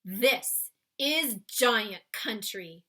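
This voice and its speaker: A woman voicing a big friendly male giant, excitable and blustering, with garbled folksy grammar, in a children's storybook reading. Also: fairly high pitch, drawn-out words, heavily acted. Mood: urging. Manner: garbled grammar, invented words, emphatic. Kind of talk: children's storybook read-aloud.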